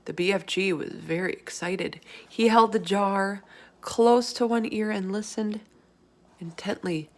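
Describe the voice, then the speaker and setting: A woman narrating a children's book aloud in an expressive storytelling style. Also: medium pitch, a little slow. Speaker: storybook reader.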